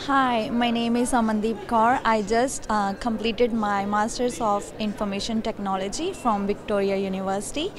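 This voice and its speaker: A woman's voice: a little high, steady tone, moderate pace, few pauses. A young woman, a new graduate, gives an informal testimonial into a handheld microphone. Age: young adult. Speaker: graduating master's student. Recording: busy crowd in a hall behind.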